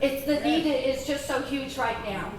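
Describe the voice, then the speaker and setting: High-voiced woman in an echoey hall. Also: unhurried, distant mic. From Greece. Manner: chatty, asks the audience questions. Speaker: convention speaker. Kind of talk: presentation to an audience. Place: large convention hall.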